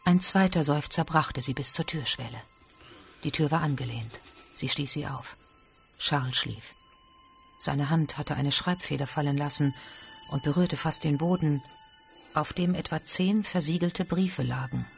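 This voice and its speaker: A man's voice: a little high, unhurried, vocal fry, slight background noise. A man reading scripted literary narration in a radio drama.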